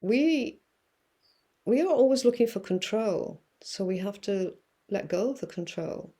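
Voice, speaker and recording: A woman guest musing through spiritual ideas, with many fillers and pauses. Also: medium pitch, expressive, warm tone. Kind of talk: reflective spiritual talk.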